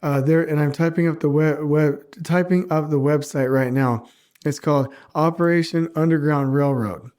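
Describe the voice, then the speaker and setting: A man's voice: a little high, fairly even intonation, moderate pace, a little mellow. A man hosting his own podcast, talking casually with a stuffy nose from a cold, earnest and a little rambling. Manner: rambling, earnest, self-mocking. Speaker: podcast host. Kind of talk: casual solo podcast monologue.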